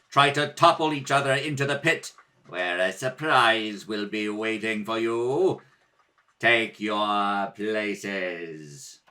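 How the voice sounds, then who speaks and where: A man's voice: on the low side, sharp tone, pitched below the performer's own voice. A man playing a cruel, imperious tyrant emperor in a radio serial, gloating and commanding. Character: Ming the Merciless, menacing, cruel male tyrant emperor.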